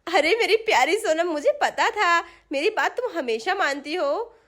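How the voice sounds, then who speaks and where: A woman's voice: extremely high pitch, expressive, normal speed, a bit bright. A woman playing an affectionate mother in a children's story, sweetly coaxing her child.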